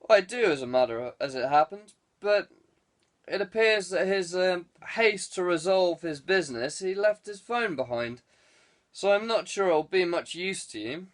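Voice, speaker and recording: A man's voice: high voice, animated, moderate pace, voice pushed up. A man voicing a clever, guarded man who speaks with courteous evasiveness in an audiobook. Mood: guarded politeness. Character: guarded, clever man fending off police questions. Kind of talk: audiobook character dialogue.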